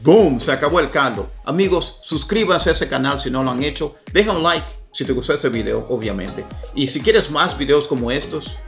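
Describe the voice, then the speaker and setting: A man, teaching English phrases with lively hand gestures in a dim indoor room. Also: fairly high pitch, fast talker, vocal fry, hardly any pauses, faint music behind. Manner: animated, gestures with his hands. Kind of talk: language lesson, repeating phrases slowly.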